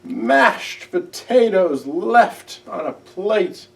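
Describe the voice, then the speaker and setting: Man voicing a sorrowful, gentle storybook owl, distant mic. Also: sits high, big pitch swings, vocal fry, pitched above the performer's own voice, exaggerated voice. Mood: tearful, mournful. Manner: emotive, drawn-out, earnest delivery. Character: sorrowful, gentle, simple-hearted male owl. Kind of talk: children's storybook character voice.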